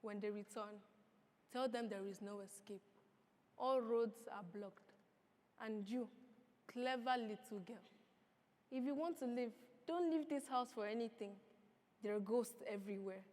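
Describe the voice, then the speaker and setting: A woman voicing a menacing grown man who coaxes and threatens a child in hushed, sinister tones, recorded in an echoey hall. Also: a little slow, pauses often, lightly acted. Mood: threatening. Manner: low, hushed, sinister coaxing.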